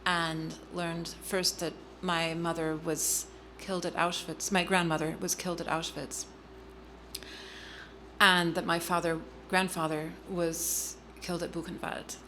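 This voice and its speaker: A middle-aged woman telling a personal family story in an interview, earnest and halting, with frequent ums and restarts. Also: on the low side, flat delivery, bright, forceful tone, a little hiss or hum. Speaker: guest speaker.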